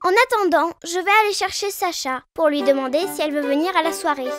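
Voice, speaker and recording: A woman's voice: very high-pitched, fast, music in the background. A woman voicing a peppy, childlike little girl in a French children's cartoon, with an exclamatory delivery. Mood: excited.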